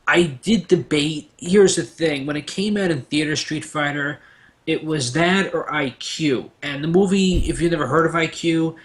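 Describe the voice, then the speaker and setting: A man with a slightly high voice speaks as a panelist in a casual debate. He rambles through trivia and anecdotes, pushing his points with emphasis. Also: normal speed. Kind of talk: casual pop-culture debate, trivia-sharing.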